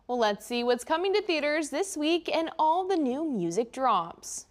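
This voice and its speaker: A woman's voice: sits high, big pitch swings, moderate pace. A woman with an American accent chatting casually and informally as a TV news show co-host. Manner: chatty, informal, frequent "like" and "oh my gosh". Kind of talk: casual unscripted studio chat.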